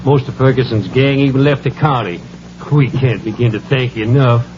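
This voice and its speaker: A man's voice: mid-range voice, normal speed, background noise, close to an everyday voice. An actor playing a grateful, folksy townsman in a 1940s radio Western, earnest and effusive. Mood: grateful, relieved. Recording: muffled 1940s radio broadcast. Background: music.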